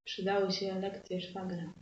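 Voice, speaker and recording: A woman narrating improvised comic stories as a stage performer, measured and deadpan. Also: mid-range voice, recorded from a distance, crowd noise. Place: indoor live performance space. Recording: echoey live room, muffled, noisy.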